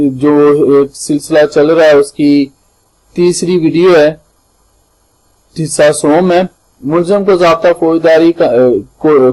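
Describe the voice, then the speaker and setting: A man with a Pakistani accent presenting an informal slide-by-slide lesson, halting and repeating himself as he addresses his viewers. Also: a little high, a little mellow, rough recording. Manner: halting and repetitive, addresses viewers often, reads English legal terms slowly. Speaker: host of a legal explainer channel.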